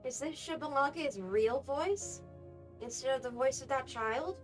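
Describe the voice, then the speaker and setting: High, slow, musing young woman. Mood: wondering. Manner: musing, wondering. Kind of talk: anime video game voice acting. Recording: faint music behind.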